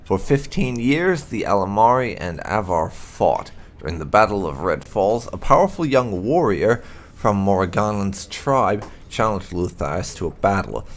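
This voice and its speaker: A man with a gravelly voice reading fantasy game lore aloud in a measured, solemn storytelling manner. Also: on the low side, very animated. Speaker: gaming channel host.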